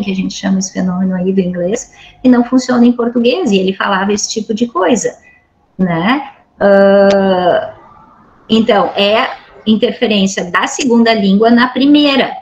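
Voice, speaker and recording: A woman's voice: mid-range voice, low-quality recording. A middle-aged woman from Brazil giving an academic lecture over a video call, explaining patiently and checking in with frequent tag questions. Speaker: guest lecturer.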